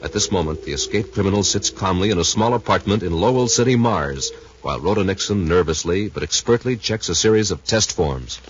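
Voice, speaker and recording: Low-pitched male radio drama announcer. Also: brisk. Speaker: announcer and narrator. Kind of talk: 1950s radio drama scripted narration. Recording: muffled, noisy 1950s radio recording.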